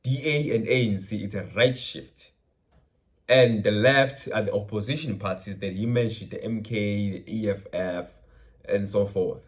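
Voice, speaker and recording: A man's voice: fairly low pitch, normal speed. A South African man from KwaZulu-Natal, a Zulu speaker, hosting his channel with loose, rambling political commentary that mixes in Zulu phrases. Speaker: channel host. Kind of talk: casual political commentary vlog.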